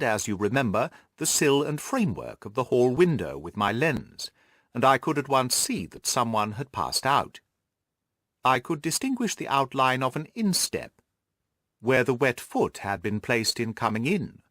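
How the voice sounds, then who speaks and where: A man reading the part of a shrewd, self-assured gentleman detective, precise and methodical, in an audiobook. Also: mid-range voice, very animated, moderate pace, no room sound, studio quality.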